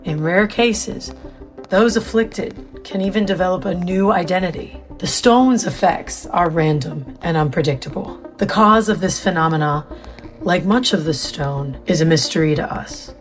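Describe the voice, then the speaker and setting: Woman playing formal boss scientist lady, lowish measured voice, audio drama with soft music. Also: close to an everyday voice. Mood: stern, explanatory. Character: authoritative, formal female scientist briefing a newcomer. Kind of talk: scripted audio drama dialogue.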